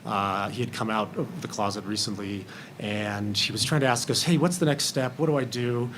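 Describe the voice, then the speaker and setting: A man's voice: fairly low pitch, normal speed, a bit bright, a little hiss or hum. A man speaking candidly as a film panelist in a roundtable discussion, acting out bits of remembered conversation.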